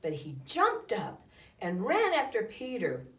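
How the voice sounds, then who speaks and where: A woman reading a children's story aloud in an expressive, dramatic storytelling style. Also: medium pitch, a little slow. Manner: dramatic, acts out calls and sound effects. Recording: echoey room, distant microphone.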